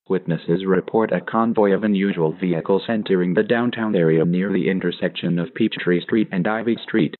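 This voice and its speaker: A man's voice: low voice, no room sound. A man reading a scripted emergency broadcast announcement in a formal, automated-sounding way. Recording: narrow tinny phone-like radio sound.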